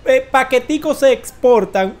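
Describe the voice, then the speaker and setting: A man in his forties with a Dominican accent gives informal news commentary as a host, halting and emphatic, with dramatic pauses. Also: very high voice, a little mellow. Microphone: close desk microphone.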